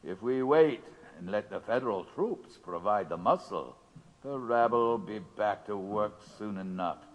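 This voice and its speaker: An actor playing a scheming, commanding power broker in an audio drama. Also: male voice, fairly low pitch, expressive, unhurried. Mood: imperious. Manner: commanding, calculating. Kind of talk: radio drama acting. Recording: reverberant hall-like room.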